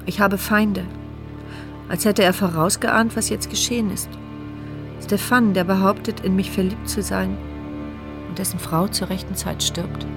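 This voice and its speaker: A woman's voice: medium pitch, fairly even intonation, a little slow, pauses often, over music, close to an everyday voice. An actress playing a paralysed woman who narrates her inner thoughts in a dry, sardonic, reflective way for a radio drama. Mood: brooding. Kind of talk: scripted radio drama monologue.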